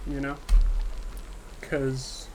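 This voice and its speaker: A man giving an unscripted, subdued and hesitant rant about a game, sounding dejected and pausing often on ums. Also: mid-range voice, soft background music, poor audio. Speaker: gaming video creator. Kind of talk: unscripted solo rant to camera. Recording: steady background ambience hiss.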